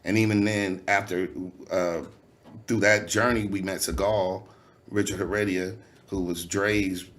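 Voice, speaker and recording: Low nasal-voiced man talking loosely. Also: little pitch movement, measured pace, fairly bright tone. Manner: loose, candid, swearing freely, many fillers. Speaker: rapper, guest. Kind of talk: casual interview conversation.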